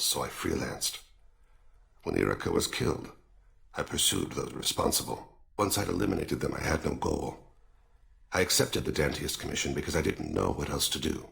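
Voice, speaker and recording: An actor playing a weary, reflective alien assassin in a video game, with a gravelly voice and formal, courteous, philosophical delivery. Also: male voice, a bass voice, a bit bright, full character voice. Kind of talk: dramatic video game dialogue.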